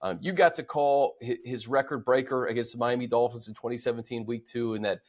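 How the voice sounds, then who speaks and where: A man's voice: medium pitch, fairly even intonation, fairly quick. An American man with a mid-range voice hosts casual sports talk. He speaks conversationally, leaning on key words for emphasis as he runs through stats and takeaways. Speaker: sports podcast host.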